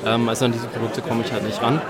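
A man's voice: medium pitch, little pitch movement, quick pace, a loud crowd. A man from eastern Belgium, guest in a conversational interview, talking hesitantly with many fillers and restarts. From Belgium (Eupen). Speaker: guest, beer shop owner.

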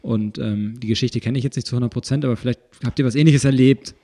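Chatty podcast host asking questions. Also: male voice, medium pitch, steady tone, quick pace, warm tone. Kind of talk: casual podcast interview.

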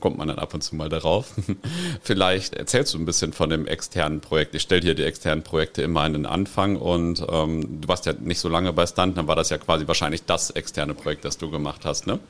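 A man with a very deep voice hosts a relaxed podcast chat, sounding a little congested from a cold. He is chatty and laughs often between questions. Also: brisk. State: has a cold. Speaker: podcast host. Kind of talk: casual podcast interview.

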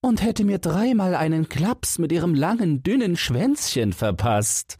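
A man putting on a high, cheeky young lad. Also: big pitch swings, a little slow, a little mellow, breathy edge, no room sound, clean studio sound, heavily acted. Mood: cheerful, sly. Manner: pitched-up, lilting, cocky. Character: cheeky, cunning young lad outwitting a giant.

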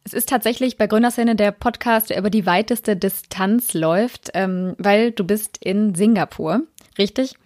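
A woman's voice: medium pitch, moderate pace, darkish tone, no room sound, studio quality. A woman hosting an interview podcast, introducing the show and putting questions to a guest. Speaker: podcast host and editor.

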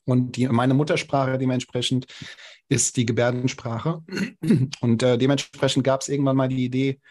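A man's voice: medium pitch, flat delivery, moderate pace, a little mellow. A young adult man, a podcast guest, speaking casually with many hesitations and restarts. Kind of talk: casual interview talk.

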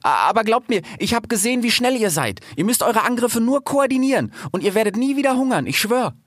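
Man playing a stammering, high-voiced polite little fox. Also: expressive, a little fast, dry recording, studio quality. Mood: nervous, persuasive. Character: timid, clever, polite young grey fox, male.

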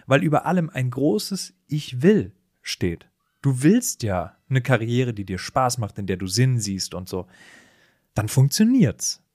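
A man talks as a podcast co-host, with a mid-pitched voice in a dry, close recording. He is conversational and analytical, building his case point by point in easy back-and-forth banter. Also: a little mellow, no room sound.

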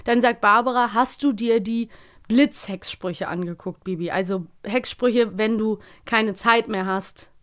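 Female podcast co-host, slightly high voice, chatty and conversational, performs quoted bits as she retells. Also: a little slow, a bit bright. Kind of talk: casual chat about children's audio plays.

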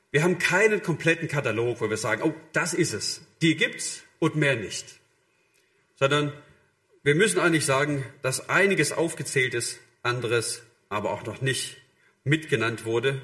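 A man's voice: a little high, a bit bright. A man preaching a sermon in a conversational, illustrative way, addressing listeners directly and voicing short imagined quotes.

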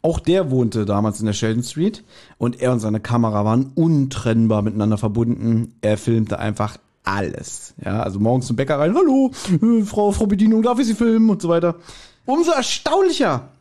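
A man co-hosts a podcast in a casual, chatty way. He has a mid-pitched voice and retells a story conversationally, dropping in little acted quotes as he goes. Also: animated, fairly quick, darkish tone. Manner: chatty, acts out short quotes. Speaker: podcast co-host. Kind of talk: casual podcast chat retelling an audio drama episode.

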